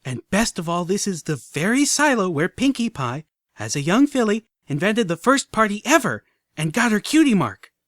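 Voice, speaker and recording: A man's voice: high-pitched, big pitch swings, moderate pace, fairly bright tone, dry recording, clean studio sound, voice pushed up. A man doing a squealing, hyper little filly. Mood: enthusiastic. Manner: squealing, bouncy, breathless. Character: hyper excitable young pink filly, giggly. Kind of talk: audiobook character reading.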